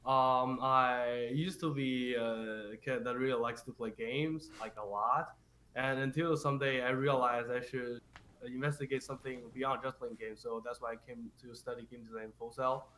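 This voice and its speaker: A man's voice: mid-range voice, fairly even intonation, normal speed, bright, forceful tone, slight background noise. A young man from China, a game design graduate, introducing himself in plain, simple phrasing. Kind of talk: casual interview. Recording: echoey room.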